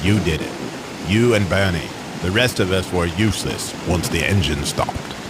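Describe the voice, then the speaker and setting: A haughty talking alternator from a radio play , put on by a man. He speaks low and formally, scolding with pompous disdain over clear rain noise. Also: moderate pace, close to an everyday voice. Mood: earnest protest. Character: snooty male car alternator, haughty and disdainful.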